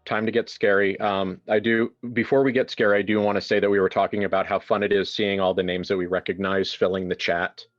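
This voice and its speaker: A man's voice: lowish voice, flat delivery, a little fast, few pauses, muffled recording, soft background music. A man hosting a horror roleplaying game in a casual, improvised way, with wry joking asides. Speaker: game master and host.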